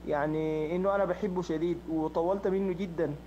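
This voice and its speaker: A man's voice: fairly high pitch, steady tone, quick pace, warm tone, dull audio, a little hiss or hum. A man from Sudan with a Sudanese Arabic accent, talking earnestly and repeating himself in a voice message. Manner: earnest, repetitive. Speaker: prank victim. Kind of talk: casual voice message.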